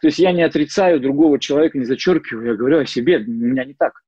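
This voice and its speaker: A middle-aged man giving an informal lecture as a psychologist, mixing in personal stories and pausing often on fillers and restarts. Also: medium pitch, darkish tone, husky, no room sound. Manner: hesitant, many fillers and restarts. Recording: dull lo-fi dry sound.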